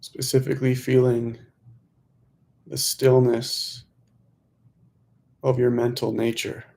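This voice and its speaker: A man leading a guided meditation, giving gentle instructions in unhurried phrases with pauses. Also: mid-range voice, level tone, creaky.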